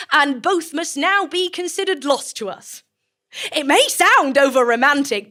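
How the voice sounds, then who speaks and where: A woman's voice: high voice, a bit bright. A woman playing a genial, unflappable institute director who speaks politely and formally, with droll understatement, in a comic audio drama. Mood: calm, regretful. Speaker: research institute director addressing staff.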